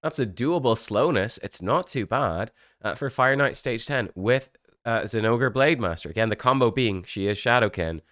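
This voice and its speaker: A man with a stuffy, slightly rough voice from a cold, giving a chatty, rambling breakdown of a game event as a gaming channel host. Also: medium pitch, lively intonation, normal speed, a bit bright, no room sound, studio quality. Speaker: gaming YouTuber. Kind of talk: casual game commentary.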